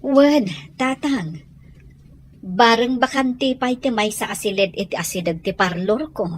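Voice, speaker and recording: A woman's voice: fairly high pitch, a little slow. A woman playing a mild, obliging young person speaking respectfully in an audio drama. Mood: thoughtful. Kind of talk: Ilocano audio drama acting.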